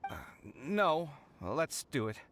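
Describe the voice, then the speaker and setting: A man's voice: fairly high pitch, very animated, slow, a bit bright, slight background noise, full character voice. A man voicing an earnest, dramatic, luckless young male scholar in a video game. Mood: uneasy. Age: young adult. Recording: faint music behind.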